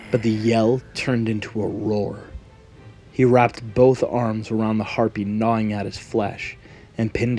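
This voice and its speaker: A man's voice: on the low side, a little mellow. A man narrating a scripted fantasy story in a dramatic storytelling manner. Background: background music.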